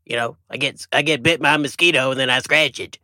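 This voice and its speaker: Man voicing an excitable, bright-voiced possum. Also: mid-range voice, fast talker, dry recording. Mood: silly, cheerful. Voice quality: gravelly muppet-like voice. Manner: excitable, indignant, folksy. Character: touchy, silly male possum podcast sidekick, a wild animal. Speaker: podcast co-host. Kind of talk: comic banter.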